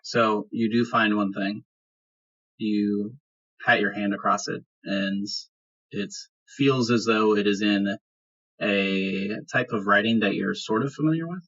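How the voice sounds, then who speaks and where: A man with a fairly low, rough-edged voice runs a tabletop roleplaying game as game master. He describes scenes in a conversational, improvised manner. The sound is somewhat muffled and lo-fi. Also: steady tone, measured pace, a bit bright. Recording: dull lo-fi sound.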